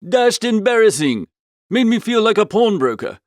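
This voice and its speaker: A man voicing an amiable, flustered upper-class young gentleman, chattily narrating a comic audiobook in old-fashioned slang. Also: sits high, sing-song, normal speed, no room sound, studio quality.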